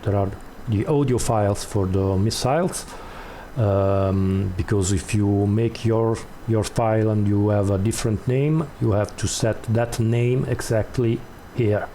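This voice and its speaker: Slow, low-voiced man giving a tutorial. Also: steady tone, warm tone, a little hiss or hum. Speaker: tutorial host. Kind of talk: step-by-step screen tutorial, unscripted explanation.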